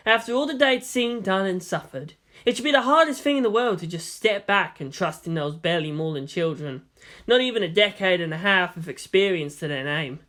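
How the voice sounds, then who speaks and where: A man's voice: high voice, a little fast, sharp tone. A man narrating a story from a script as a fan fiction reader.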